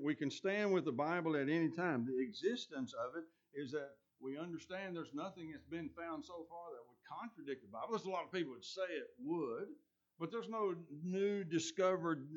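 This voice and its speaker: A man preaching a sermon with a scratchy voice, talking earnestly and often restarting his phrases. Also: on the high side. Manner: earnest, with many restarts and ums. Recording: dull lo-fi recording.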